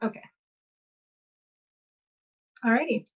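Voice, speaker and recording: A woman in the audience asking a question, answering in brief, halting replies. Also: highs cut off.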